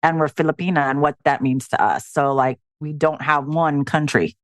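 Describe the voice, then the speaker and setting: A woman's voice: deep voice, moderate pace, no room sound, clean studio sound. A woman from the United States hosting a podcast, putting questions to her guest in a conversational way. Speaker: podcast host, interviewer. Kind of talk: conversational interviewing.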